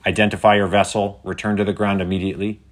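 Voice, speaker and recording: A man's voice: lowish voice, level tone, fast talker, close to an everyday voice. Man as a stern, officious drone. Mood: stern, commanding. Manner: commanding, clipped, official. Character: stern officious government drone giving orders. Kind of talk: scripted children's audio story.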